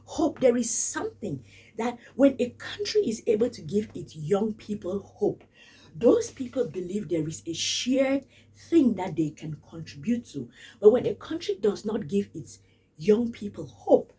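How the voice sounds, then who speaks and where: A woman's voice: mid-range voice, very animated, a little slow, warm tone, slightly rough, distant mic. A woman from Cameroon, giving casual spoken commentary in an emphatic way and repeating phrases for stress. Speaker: vlogger.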